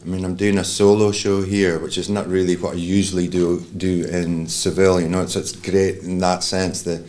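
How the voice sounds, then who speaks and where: A man's voice: low voice, flat delivery, moderate pace, a little mellow. A middle-aged man with a Scottish accent, a flamenco guitarist talking in a rambling way with many fillers. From Scotland.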